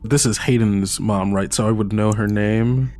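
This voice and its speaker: A man's voice: on the low side, fairly even intonation, normal speed, darkish tone, faint music behind. A man playing a tabletop game, hesitant, full of restarts and filler words. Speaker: player. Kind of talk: tabletop roleplay chat.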